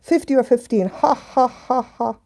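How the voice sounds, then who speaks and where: A woman's voice: fairly high pitch, normal speed. A woman in her late forties with a mixed Canadian, German and Scottish accent, talking casually to viewers on a live stream and laughing often. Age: 40s. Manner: chatty, laughs often, shifts between hushed and bright. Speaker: channel host reading viewer comments.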